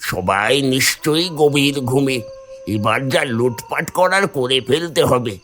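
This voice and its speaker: A man's voice: medium pitch, expressive, unhurried, fairly bright tone, faint music behind. A man voicing a brash, sly, greedy village man in a cartoon story. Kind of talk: Bengali cartoon story voice acting.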